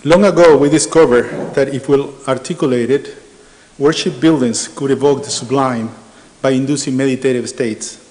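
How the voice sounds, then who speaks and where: A middle-aged man with an Argentine Spanish accent giving a measured academic conference talk, with frequent hesitations. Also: medium pitch, fairly even intonation, a little mellow, slight background noise. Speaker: architecture professor presenting research. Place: large lecture hall. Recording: reverberant hall.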